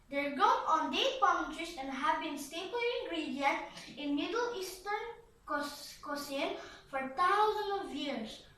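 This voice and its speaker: A woman's voice: very high-pitched, animated, measured pace, bright, forceful tone, slight background noise, exaggerated voice. A woman voicing earnest, polite young boy puppets with careful, recited delivery in a scripted puppet show. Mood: earnest, explaining. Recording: roomy echo, slightly distant mic.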